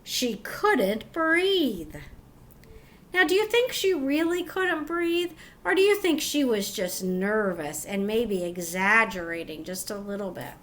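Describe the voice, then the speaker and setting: A woman teacher reading a picture book to young children, expressive and instructive, pausing to ask questions. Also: on the high side. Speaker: teacher reading aloud to pupils.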